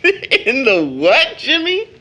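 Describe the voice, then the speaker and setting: A man's voice: very high-pitched, wildly swooping pitch, slow pace, sharp tone, exaggerated voice. A man playing a cheerful, bantering older brother, a young man given to playful mock-grand speech, in an audio drama. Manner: playful, florid mock formality.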